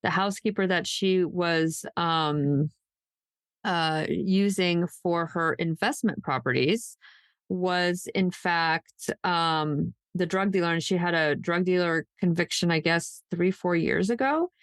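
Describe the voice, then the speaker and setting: Low-voiced woman, casual podcast host. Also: slow, dry recording, studio quality. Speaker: true crime podcast host. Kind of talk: casual co-hosted podcast chat.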